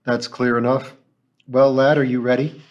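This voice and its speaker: A man doing a gruff, blunt ship captain. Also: on the low side, moderate pace, lo-fi sound, natural voice. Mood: brusque. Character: gruff middle-aged male ship captain.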